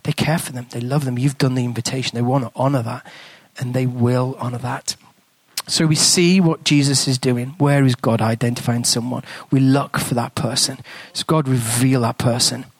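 A man's voice: medium pitch, fairly even intonation, normal speed, husky, no room sound. A man with an English accent preaching as a guest speaker, telling a story in a chatty, conversational way. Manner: conversational storytelling, drops to a hushed whisper in prayer. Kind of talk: sermon with a told story.

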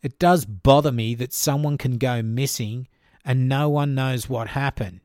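A man's voice: mid-range voice, measured pace, darkish tone, no room sound, studio quality. A man with an Australian accent hosting his own podcast, answering listener questions in a chatty, informal way. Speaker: podcast host.

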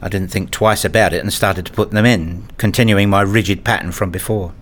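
A man reading a scripted first-person story as narrator, in a measured, introspective manner. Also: deep voice, fairly even intonation.

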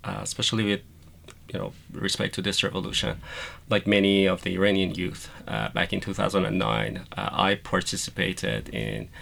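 A man's voice: low-pitched, moderate pace, gravelly, a bit bright. A man who grew up in Iran, speaking earnestly as an interview guest with frequent fillers.

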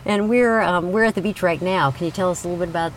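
A woman's voice: lowish voice, fast, slight background noise. A woman hosting an interview show, reading a scripted introduction and then asking questions in an earnest way, laughing often. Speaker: podcast host. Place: outdoors at a beach.

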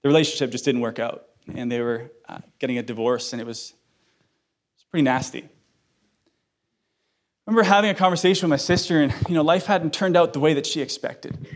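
A man's voice: fairly high pitch, fairly quick, long pauses. Joking man in his thirties preaching. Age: 30s. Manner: joking, self-deprecating, then earnest. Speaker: pastor. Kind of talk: sermon with personal storytelling.

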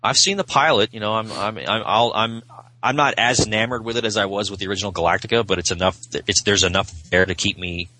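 A man's voice: lowish voice, fairly even intonation, fast talker, sharp tone, low-quality recording. A man chatting casually as a podcast guest, hesitantly rephrasing as he goes. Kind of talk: casual chat.

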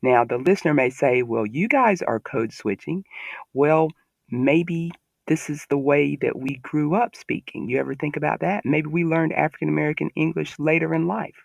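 A man's voice: a little high, moderate pace, creaky, dry recording. A man with a Southern American accent, talking earnestly and with emphasis in a podcast discussion.

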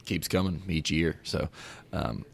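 A man in his thirties, a business owner and podcast guest, talking in a casual, upbeat way with a raspy voice today. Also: a bass voice, unhurried.

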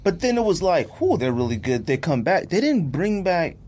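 A man's voice: on the high side, very animated, moderate pace, darkish tone. A man on a sports podcast chatting casually and reacting to his co-hosts, with faint noise behind him.

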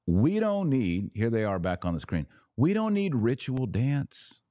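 A man hosting a podcast, giving sarcastic, animated commentary and now and then leaping to high, incredulous exclamations. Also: no echo, clean studio sound. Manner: slow deliberate Latin prayer recitation. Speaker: podcast host.

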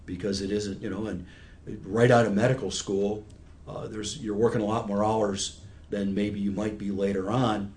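A man's voice: on the low side, level tone, normal speed, a little mellow. A man in his sixties giving patient, conversational explanations with everyday examples, as an expert guest in an interview. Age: 60s.

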